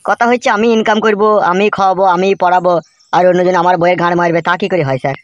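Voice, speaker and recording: A man's voice: high-pitched, steady tone, racing, no echo, muffled recording, close to an everyday voice. A man voicing an aggrieved, bewildered young working husband in a comedy cartoon, pleading and protesting. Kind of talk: Bengali comedy cartoon dubbing.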